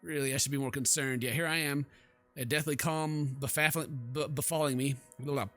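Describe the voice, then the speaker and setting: A man's voice: mid-range voice, fairly even intonation, brisk, fairly bright tone, faint music behind. A man doing let's play commentary, reading game text aloud dramatically and stumbling now and then. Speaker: gaming YouTuber.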